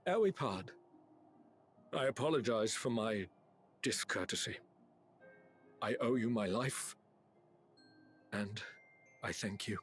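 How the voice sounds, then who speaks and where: A haughty old lord in a game, stiff formal talk, bit of music under it. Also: male voice, on the low side, animated, slow pace, long pauses. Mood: stiff, contrite. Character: proud, stiff older nobleman of a fallen empire. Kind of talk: dramatic video game voice acting.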